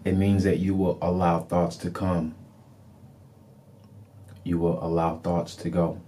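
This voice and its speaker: A man with a dark, soft-edged voice leads a guided meditation. He speaks in calm, deliberate, repeated phrases with very little rise and fall. A faint background noise sits behind him. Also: sits low. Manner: calm, deliberate, soothing instruction.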